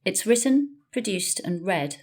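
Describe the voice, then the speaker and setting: A woman's voice: mid-range voice, expressive, a little slow, a little mellow. A woman presenting a scripted podcast introduction as its host.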